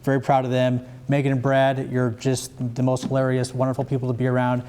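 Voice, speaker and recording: A man's voice: mid-range voice, completely flat delivery, a little fast, mellow, smooth. A young American man anchoring a scripted satirical student newscast. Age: young adult.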